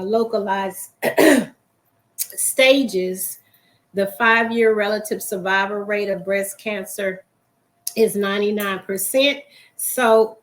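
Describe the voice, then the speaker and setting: A woman hosting a faith broadcast, hoarse and losing her voice, often clearing her throat as she gives a reflective, encouraging talk. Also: mid-range voice.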